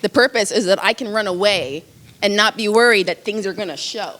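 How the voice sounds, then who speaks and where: A woman on a comedy panel, trading playful quick quips. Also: mid-range voice, a bit bright.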